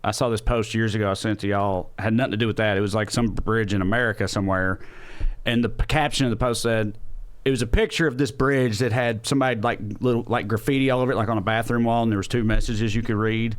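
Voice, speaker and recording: A man's voice: lowish voice, steady tone, fast talker, clear, resonant tone. Man chatting casually on a podcast. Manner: informal, profane, rambling storytelling. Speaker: podcast host. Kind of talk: casual comedic chat.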